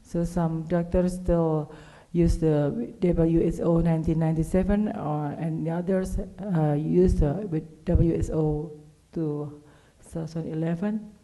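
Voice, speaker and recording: A woman from Indonesia with an Indonesian accent gives a medical conference presentation in halting, non-native English as a specialist speaker. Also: low voice, steady tone, dark tone, poor audio. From Indonesia, Java. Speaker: clinical pathologist, conference speaker.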